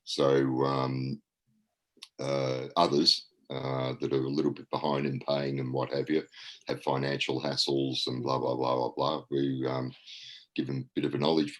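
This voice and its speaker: A man's voice: a bass voice, monotone, measured pace. An Australian man giving a registrar's report at an online meeting, hesitant and rambling with many fillers.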